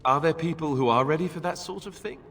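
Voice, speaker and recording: A man's voice: a little high, quick pace, fairly bright tone. A man playing a hesitant, self-deprecating, wry young prince who doubts himself, in dramatic fantasy game dialogue. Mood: reluctant, wry. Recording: long hall reverb, music underneath.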